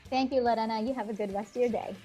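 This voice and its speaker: A woman guest in an interview who answers thoughtfully, halting and restarting her sentences. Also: a little high, steady tone, mellow, faint music behind. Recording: dull lo-fi sound.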